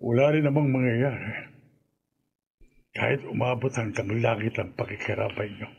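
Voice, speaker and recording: A gravelly, raspy middle-aged father speaks in a radio drama. He is bitter and grieving, with a stern, cutting delivery that blames and refuses to forgive. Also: medium pitch, fairly even intonation, normal speed, lo-fi sound. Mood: bitter grief. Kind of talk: Filipino radio drama acting.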